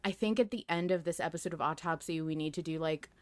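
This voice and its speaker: A woman hosting a casual fan podcast, talking in an excitable, emphatic way and swearing freely. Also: sits low, quick pace. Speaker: podcast host.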